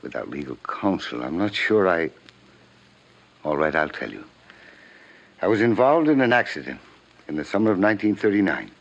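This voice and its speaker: A stiff, aristocratic older father weighed down by guilt, in an old radio play. His voice is extremely deep and his diction is formal and measured. The recording is dull and muffled. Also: big pitch swings, exaggerated voice. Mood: defensive, troubled. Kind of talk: 1950s radio drama acting.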